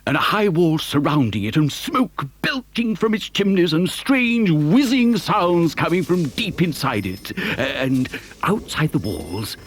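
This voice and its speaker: A man's voice: a little high, slight background noise. An actor playing an exuberant, boastful chocolate maker who tells a children's story theatrically, savouring his words. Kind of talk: dramatised children's story narration.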